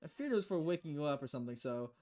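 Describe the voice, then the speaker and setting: A woman voicing a low-key, dry-humored female bartender in a visual novel game, deadpan and a little hesitant. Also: rapid-fire. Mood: polite.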